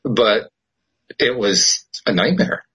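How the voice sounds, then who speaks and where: A man's voice: lowish voice, animated, takes it slowly, pushed and bright, breathy edge. A middle-aged man, a podcast host, talking earnestly and reflectively in a casual conversation.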